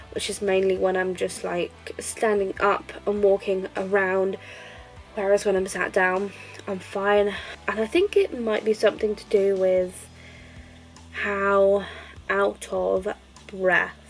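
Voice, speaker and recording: A woman's voice: medium pitch, low-quality recording. Woman, home vlogger, congested nose, rambling and candid, faint background music. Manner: rambling, filler-heavy, candid. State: stuffy-nosed with a cold. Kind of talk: casual chatty diary vlog.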